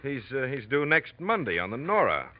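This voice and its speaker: An actor playing a nosy, affable American ticket clerk in an old radio drama, talking in a folksy, halting, chatty way. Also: male voice, medium pitch, very animated, natural voice. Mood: helpful, talkative. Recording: dull vintage radio recording.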